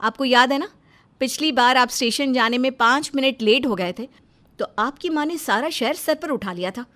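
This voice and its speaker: A woman voicing an exasperated, sharp-tongued young working wife who speaks emphatically in a dramatized story. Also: high-pitched, moderate pace. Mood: urgent. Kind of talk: dramatized Hindi moral story.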